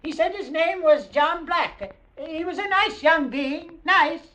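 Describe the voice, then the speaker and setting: Very high, flustered Martian. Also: female voice, expressive, a bit bright, heavily acted. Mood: flustered, pleased. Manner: hesitant, halting. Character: naive, flustered, kindly Martian townsperson. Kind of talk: old-time radio drama acting. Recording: dull old radio recording.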